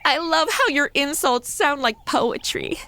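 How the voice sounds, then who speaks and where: A woman's voice: high voice, animated, a little slow, pushed and bright, full character voice. A woman playing a sassy, sarcastic modern wisecracker in a comedic audio drama. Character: sassy modern American woman among medieval outlaws.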